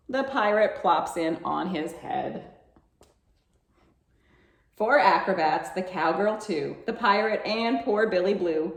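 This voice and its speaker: A woman reads aloud to children with lively, swinging pitch. She takes her time and coaxes listeners with questions, and the room adds noticeable echo. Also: creaky. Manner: coaxing questions to young listeners. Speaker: children's library storytime reader. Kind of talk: expressive read-aloud for children. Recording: echoey room sound.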